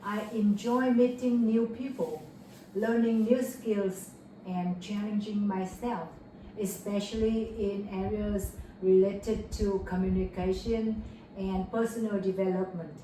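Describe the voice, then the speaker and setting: A woman's voice: mid-range voice, fairly even intonation, slow, dark tone. A young woman from Vietnam, answering a mock speaking test in careful, rehearsed English with fillers and self-corrections. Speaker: test candidate. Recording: echoey room, far from mic, faint noise.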